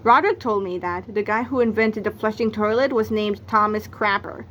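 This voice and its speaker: A woman's voice: mid-range voice, normal speed, fairly bright tone, highs cut off, a little hiss or hum. A woman narrating a read-aloud of a children's comic novel, acting out its exclamations and sound effects. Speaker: book narrator.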